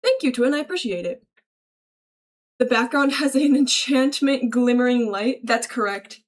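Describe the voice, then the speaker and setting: A woman's voice: on the high side, expressive, normal speed. A woman livestreamer casually reading and answering viewer messages aloud in a slangy, chummy, thankful way. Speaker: livestreamer greeting chat.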